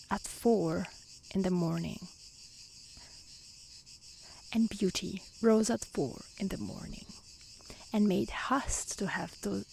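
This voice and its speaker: A woman softly reading a fairy tale aloud in a gentle, hushed ASMR style that often slips toward a whisper. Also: medium pitch, vocal fry, rough recording. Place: outdoors in nature. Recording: faint outdoor hiss with crickets and insects.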